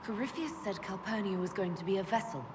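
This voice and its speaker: A woman playing a brisk, pragmatic adventurer leader in a fantasy video game, direct and wry. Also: mid-range voice, expressive, background noise, close to an everyday voice. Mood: serious, probing. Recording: reverberant hall, music behind. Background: music.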